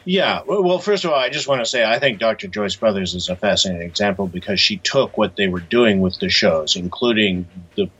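A man speaking as an interview guest, telling a story conversationally in a thoughtful, halting way with pauses. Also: on the low side, lively intonation, a bit bright, slightly rough, dull audio.

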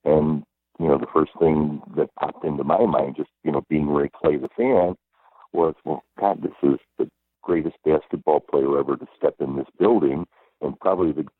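Guy with a super deep strong voice telling old stories, tinny phone line, dry. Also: steady tone, no echo. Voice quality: strong, powerful voice. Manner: unhurried storyteller, many fillers. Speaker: guest, former arena public address announcer. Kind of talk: phone interview, reminiscing. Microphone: telephone.

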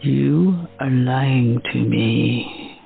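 A performer playing Daphne, a suspicious young woman who questions the doctor about her missing sister in a slow, accusing way. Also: mid-range voice, vocal fry, exaggerated voice. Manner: accusing, probing. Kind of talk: amateur audio drama acting. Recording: tinny phone-like sound, faint music.

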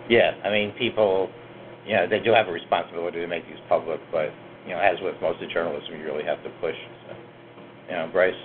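A man hosting a student newsroom podcast round-table, measured and full of "you know" fillers. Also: low voice, steady tone, narrow, tinny audio, a little hiss or hum.

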